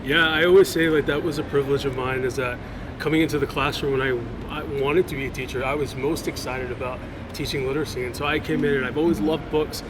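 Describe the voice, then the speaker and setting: A man's voice: mid-range voice, fairly even intonation, fast talker, noisy background. A man who teaches elementary school, chatting enthusiastically in an interview at a busy venue. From Houston, Texas. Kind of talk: casual interview. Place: theater venue, crew setting up.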